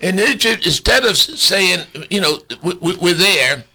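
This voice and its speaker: Husky, folksy man hosting a radio show. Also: sits high, animated, normal speed, pushed and bright. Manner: folksy, colloquial sayings. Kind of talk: casual radio chat. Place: radio studio.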